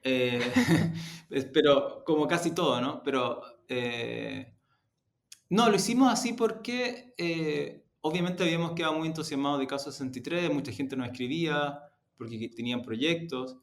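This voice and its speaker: Creaky-voiced man in a roomy interview. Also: fairly high pitch, moderate pace. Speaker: executive interview guest. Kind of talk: conversational interview answers. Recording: roomy, echoing sound.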